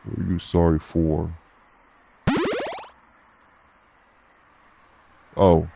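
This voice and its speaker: Man acting a low, gravelly, blunt tough guy. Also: background noise. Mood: gruff, forgiving. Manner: blunt, laid-back, streetwise slangy talk. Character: gruff, blunt, unbothered tough guy working at a nightclub. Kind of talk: audio roleplay acting addressed to the listener.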